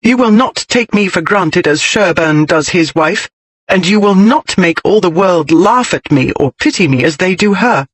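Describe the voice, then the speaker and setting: A man voicing a spirited young noblewoman who is bold and defiant, speaking in a pert, insistent way with a husky tone. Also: high-pitched, animated, moderate pace, a bit bright, no echo, voice pushed up. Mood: indignant. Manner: pert, insistent, rising to anger.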